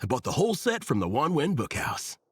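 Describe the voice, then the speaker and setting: A man's voice: a little high, wildly swooping pitch, brisk, a little hiss or hum. An actor voicing an earnest, nerdy young man in a video game, talking at length with enthusiasm and a self-deprecating streak. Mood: sheepish. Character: earnest nerdy young man, devoted mecha comic collector. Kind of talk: English video game voice acting.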